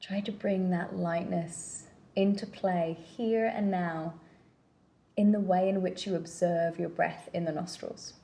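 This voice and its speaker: A woman leading a guided meditation with gentle, unhurried instruction and pauses between phrases. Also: on the low side, fairly even intonation, gravelly.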